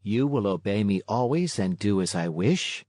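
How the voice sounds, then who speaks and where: A man voicing a motherly fairy woman in a storybook, tender and measured, kind but firm as she moralizes. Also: lowish voice, lively intonation, darkish tone, no echo, clean studio sound.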